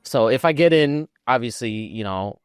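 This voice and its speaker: A man's voice: medium pitch, lively intonation, moderate pace, clean tone, no room sound, clean studio sound. A man co-hosting a podcast, giving casual, informal commentary peppered with "like.". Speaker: podcast co-host.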